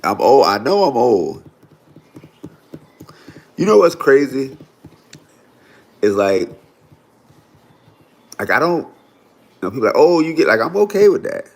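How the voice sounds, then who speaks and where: A man's voice: mid-range voice, very animated, a little slow, long pauses. A man, talking casually and loosely to viewers on a livestream. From Florida. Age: 40s. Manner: loose, chatty, lots of "y'all" and "right?". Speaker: livestream host.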